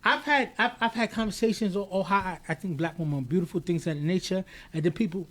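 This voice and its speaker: A man, born in the United States to Haitian parents, co-hosting a podcast in an animated, emphatic way, often repeating words as he starts a thought. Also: high voice, fast talker. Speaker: podcast co-host. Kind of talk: casual podcast discussion.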